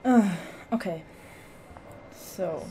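A woman's voice: medium pitch, drawn-out words, dark tone, faint music behind. A woman casually commenting as she plays a game, offhand and hesitant, with dry sarcastic asides. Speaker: let's play host.

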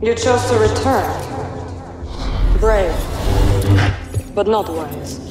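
An actress voicing a proud, wary young witch in a video game, speaking in a measured, solemn, terse way. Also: mid-range voice, animated, music in the background. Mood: wary, cool. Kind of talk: video game cinematic acting.

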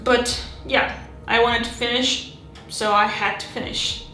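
Woman, 30s, halting casual hobby chat, slightly high voice, roomy home recording. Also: fairly even intonation, pushed and bright. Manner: chatty, halting, frequent restarts and fillers. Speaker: miniature terrain hobbyist. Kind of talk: casual hobby vlog narration.

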